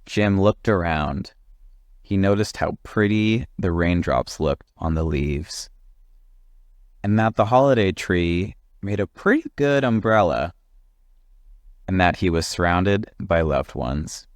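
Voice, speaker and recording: A man reading a children's story aloud as an expressive narrator. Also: low voice, slow pace, no room sound, studio quality. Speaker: storybook reader.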